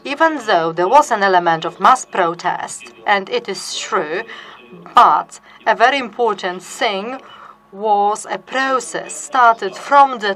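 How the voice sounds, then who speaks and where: A woman giving live interpretation of an academic conference talk, measured, with restarts and fillers. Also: mid-range voice, bright, forceful tone, rough recording. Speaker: simultaneous interpreter.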